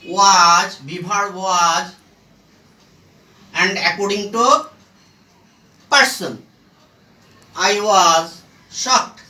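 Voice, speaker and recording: A teacher giving a step-by-step grammar lesson in short, halting phrases, asking and answering their own questions, recorded from a distance in an echoey room. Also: medium pitch, bright, forceful tone. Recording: echoey room, far from the mic.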